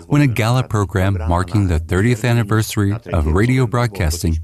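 A man reading a translated voice-over in a steady, plain way. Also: lowish voice, a little fast, crowd noise.

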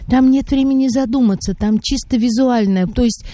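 A woman hosts a radio program and asks her guest probing, reflective questions. Her voice is mellow and warm. The recording is close and dry. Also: fairly high pitch, normal speed, no echo. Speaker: radio presenter. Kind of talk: radio interview and program hosting.

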